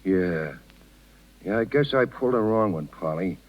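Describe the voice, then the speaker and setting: An actor playing a loyal, wounded circus man with folksy, halting, ungrammatical speech in a 1930s radio drama. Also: deep voice, lively intonation. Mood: wounded, resigned. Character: plain-spoken circus man, loyal, wounded, protective of Polly. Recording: muffled 1930s radio broadcast with long reverb.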